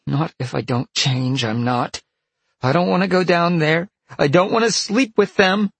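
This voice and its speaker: A man's voice: on the high side, measured pace, creaky, slightly rough, no echo, voice pushed up, full character voice. A man voicing an upset, defiant young female fox shapeshifter in an audiobook, pleading and protesting. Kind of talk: audiobook character acting.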